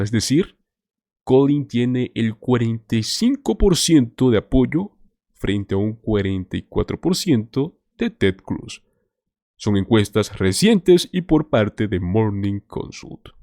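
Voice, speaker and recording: A man's voice: mid-range voice, mellow, no room sound, studio quality. A man hosting a political commentary show, talking to viewers in an emphatic, scripted way. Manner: emphatic, addresses viewers as "señores".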